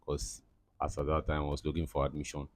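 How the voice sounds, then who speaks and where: Very deep-voiced young man, rambling. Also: monotone, fast, a little mellow, no echo. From Cameroon. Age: young adult. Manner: rambling, many fillers and restarts. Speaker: interviewee, aspiring footballer. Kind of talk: casual interview answers.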